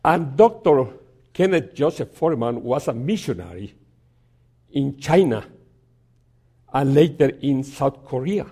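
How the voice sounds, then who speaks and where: Slow, halting male guest preacher. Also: medium pitch, very animated, breathy edge. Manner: halting, with restarts and gentle humor. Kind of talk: sermon with a personal story. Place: church.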